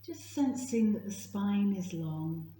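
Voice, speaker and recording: A woman leading a guided yoga nidra meditation in a gentle, soothing, hushed manner, leaving long pauses. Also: medium pitch, expressive. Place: indoor room at a retreat, live session. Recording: roomy echo, faint background noise.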